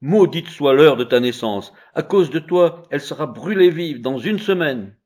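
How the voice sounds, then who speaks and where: A man's voice: fairly high pitch, very animated, moderate pace, a little mellow, husky, voice pushed up, exaggerated voice. A man playing fretful attendant women, reproachful. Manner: anxious, reproachful. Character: two gossiping attendant women, fearful.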